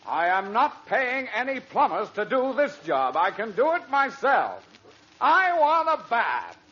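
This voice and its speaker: Exasperated middle-aged father, high swooping voice, indignant, sputtering, old radio comedy. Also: huge pitch swings, unhurried, exaggerated voice. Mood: stubborn, indignant. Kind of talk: 1940s radio comedy acting. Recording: dull, noisy old radio recording.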